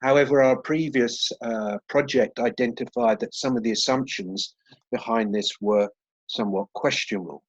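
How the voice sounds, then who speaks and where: A man's voice: medium pitch, normal speed, husky, dry recording. A man, a professor, giving a webinar presentation over a video call, hesitant with many fillers and restarts. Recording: dry, dull lo-fi call audio.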